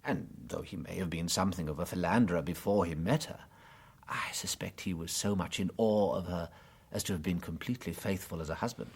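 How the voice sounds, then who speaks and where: An English actor playing a gentle, unworldly but shrewd priest detective in a radio drama, hesitant and politely musing. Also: male voice, sits low, brisk, slightly rough. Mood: quietly insistent. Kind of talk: full-cast radio drama acting.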